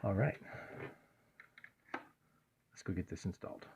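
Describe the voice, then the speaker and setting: A man talks his way through a hands-on installation in a casual, unscripted way. His voice is gravelly and his delivery very unhurried, muttered in short phrases. The recording is dull, with some background noise. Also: low-pitched. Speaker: host of a truck build vlog.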